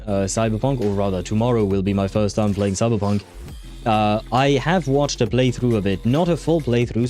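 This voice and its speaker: A man hosting a casual livestream, talking in a rambling, halting way as he thinks aloud. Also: medium pitch, warm tone. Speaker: livestream host. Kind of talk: casual livestream chat over a game. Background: faint music.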